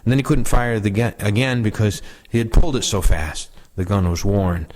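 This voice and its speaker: A man's voice: on the low side, moderate pace, a little mellow. A man reading first-person war recollections aloud as a reader of oral histories.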